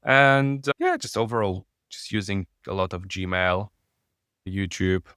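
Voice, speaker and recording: A man with a Polish accent, a non-native English speaker, talking as a podcast guest, halting and searching for words. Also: low-pitched, fairly bright tone, smooth, no echo, clean studio sound. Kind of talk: podcast interview.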